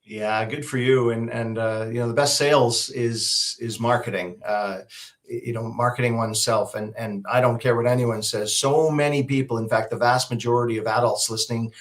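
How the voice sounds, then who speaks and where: A middle-aged man from Alberta with a Canadian accent, giving advice as an interview guest in an emphatic, polished, deliberate way. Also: mid-range voice, fairly even intonation. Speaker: guest, public speaker and emcee. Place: video call.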